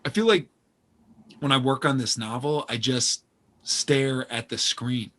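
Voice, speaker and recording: Self-deprecating would-be novelist played by a man for a stage play: apologetic, earnest, forward bright tone, medium pitch. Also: unhurried, slight background noise. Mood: self-deprecating, chatty. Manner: hesitant, apologetic, polite. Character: earnest, self-deprecating male aspiring novelist, privileged and guilty. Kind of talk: naturalistic stage play dialogue.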